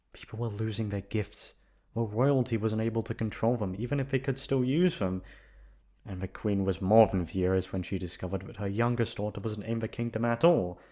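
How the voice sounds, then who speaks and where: A man's voice: lowish voice, mellow. A man narrating a bedtime storybook tale as a voice actor, in an ASMR sleep-aid style.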